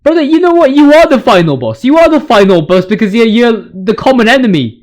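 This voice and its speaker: High-pitched male voice, video host, casual gaming commentary, hyped slangy rant, emphatic repeats. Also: very animated, fairly quick.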